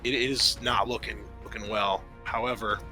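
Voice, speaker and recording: A man's voice: on the low side, steady tone, moderate pace, music in the background. A man narrating a tabletop horror roleplaying game as game master, casual and prone to swearing.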